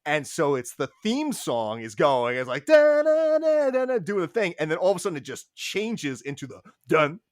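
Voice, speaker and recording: A man's voice: a little high, normal speed, few pauses, no echo. A man who composes music, answering casually and animatedly, acting out sounds as he explains. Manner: animated, sings and mimics sounds to illustrate. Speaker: audio drama composer.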